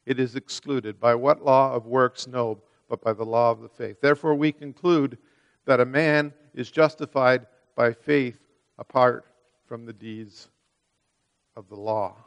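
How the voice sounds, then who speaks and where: An earnest male preacher with a voice of ordinary pitch. He reads scripture and then explains it at length, swelling louder on key words and dropping quiet between them. He often stumbles and repeats a word as he restarts a thought. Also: unhurried. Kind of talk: sermon with scripture reading.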